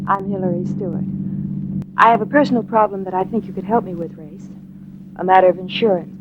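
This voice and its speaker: A woman plays a poised young society wife in a 1940s radio mystery. She is guarded, direct and measured at a middling pitch, on a dull, hissy old recording. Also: natural voice. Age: 20s.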